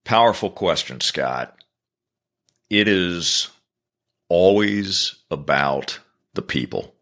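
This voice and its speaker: Very low voiced guy, slow and steady, kinda forceful, earnest coaching tone. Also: vocal fry. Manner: earnest, measured, builds points in repeated phrases. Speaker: business consultant, guest. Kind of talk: podcast interview answers.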